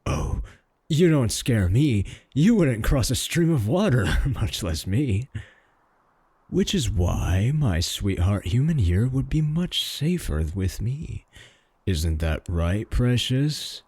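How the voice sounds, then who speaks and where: A man's voice: medium pitch, very animated, slow pace, darkish tone, breathy edge, no echo, full character voice. A man playing a cocky, doting werewolf in a comedic audio roleplay, mocking and boastful with a drawl. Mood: taunting. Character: cocky, doting male werewolf, taunting romantic rival.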